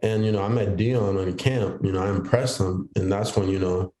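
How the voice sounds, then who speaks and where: A man's voice: deep voice, steady tone, normal speed, dark tone. A young man born in Haiti and raised in Boston, a rookie football player appearing as a guest and talking in a laid-back, reflective way. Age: young adult. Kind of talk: casual interview chat. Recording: echoey room.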